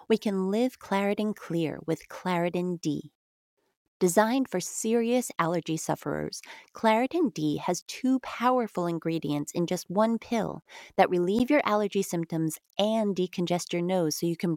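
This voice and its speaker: Lowish-voiced woman reading a dry-recorded ad. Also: a little mellow, no room sound. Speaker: podcast sponsor announcer. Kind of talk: scripted first-person ad read.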